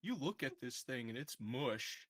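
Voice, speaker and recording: A man playing in a tabletop game, retelling the action with animated restarts. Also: mid-range voice, unhurried, slight background noise. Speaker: player. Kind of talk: casual tabletop play.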